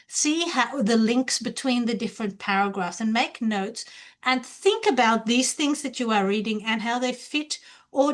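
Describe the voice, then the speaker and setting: A woman's voice: on the high side, fairly bright tone. A woman whose first language is not English, giving a university lecture in an earnest, explanatory way and posing rhetorical questions to her students. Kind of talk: lecture on academic reading.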